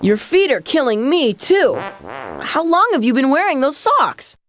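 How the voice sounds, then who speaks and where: A woman playing a sensible, wry young boy adventurer in an animated game. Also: sits high, measured pace, faint music behind, full character voice. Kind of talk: animated cartoon game dialogue.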